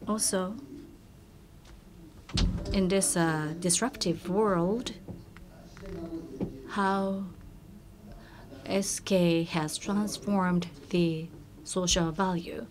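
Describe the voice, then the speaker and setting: A woman's voice: fairly low pitch, fairly even intonation, crowd noise. A woman working as a conference interpreter, rendering a keynote speech with frequent hesitations and restarts. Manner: halting, many fillers and restarts. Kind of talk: consecutive interpretation.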